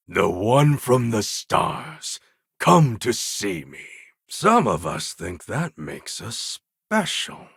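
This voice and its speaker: A man's voice: mid-range voice, a bit bright, no room sound, clean studio sound, full character voice. An actor playing a proud, menacing male warlord in a video game, speaking in a grave, formal and threatening way. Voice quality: gravelly, husky.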